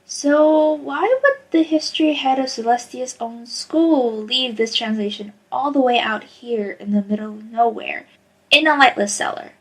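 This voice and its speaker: A high-pitched female pony voiced by a woman for an audio drama. She questions everything with dry sarcasm and open disapproval. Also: a little slow, slightly rough, low-quality recording. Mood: sceptical. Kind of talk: fan audio drama acting.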